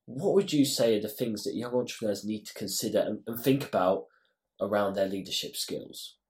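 A man's voice: lowish voice, fairly quick, a little mellow. A man hosting a podcast, reading an introduction and asking short interview questions. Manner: brief scripted questions. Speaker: podcast host.

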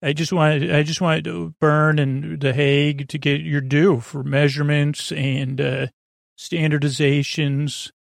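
A man hosting a sleep podcast in a rambling, halting, self-deprecating bedtime monologue full of tangents, with a creaky voice close to the mic. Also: flat delivery, no echo. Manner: self-deprecating, low-key.

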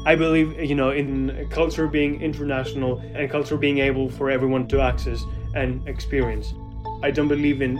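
A young man in his twenties from Greece, speaking English with a Greek accent in an earnest, conversational interview. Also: medium pitch. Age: 20s. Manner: earnest, with frequent "you know" fillers.

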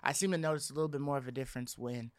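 A man's voice: medium pitch, fairly even intonation, rapid-fire. A man co-hosting a podcast, chatting casually and informally about video games in loose slang. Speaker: podcast co-host.

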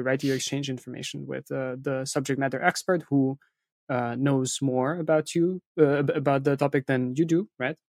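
Man, medium pitch, interview guest, explanatory, frequent tag questions, conversational, dry close recording. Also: darkish tone, no room sound. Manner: explanatory, often checks with a tag "right?". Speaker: interview guest, content marketing firm founder.

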